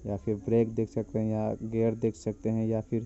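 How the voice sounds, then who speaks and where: A man's voice: fairly low pitch, monotone, a little fast, warm tone. A man narrating a walkthrough of car interiors, plainly listing parts one after another. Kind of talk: voice-over walkthrough. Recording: dull lo-fi sound with faint insects.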